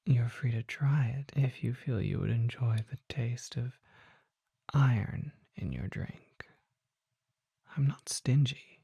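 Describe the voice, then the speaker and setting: Courteous ageless vampire collector, played by an actor for ASMR roleplay: very breathy whisper, slow and genteel. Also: mid-range voice, dark tone, no room sound, full character voice. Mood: dryly amused. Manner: slow, genteel, formal phrasing. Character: courteous, curious old vampire collector and magic shopkeeper. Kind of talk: ASMR roleplay, soft intimate monologue.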